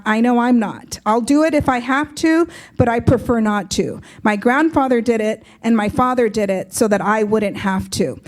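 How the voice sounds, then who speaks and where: A woman from Southern California with an American accent, speaking publicly as a judge and explaining things in measured phrases with frequent ums. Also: fairly high pitch, a little mellow. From Southern California, United States. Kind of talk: public speech to an audience.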